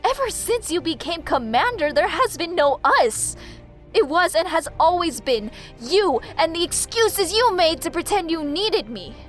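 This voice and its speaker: A woman's voice: very high-pitched, big pitch swings, a little slow, faint music behind, exaggerated voice. A woman playing an indignant, idealistic rebel in an amateur anime-style audio drama. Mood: bitter.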